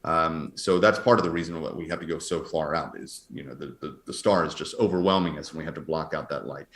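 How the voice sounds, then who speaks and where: A man speaking as an astronomer guest, explaining science conversationally in a halting, thinking-aloud way. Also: extremely low pitch, a bit bright. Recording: roomy, echoey sound.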